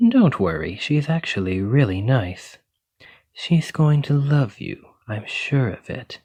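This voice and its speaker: A man playing a gentle, bookish dragon-blooded librarian and devoted boyfriend in an ASMR roleplay, speaking in an earnest, reassuring way. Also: medium pitch, lively intonation, slow pace. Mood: affectionate, sheepish.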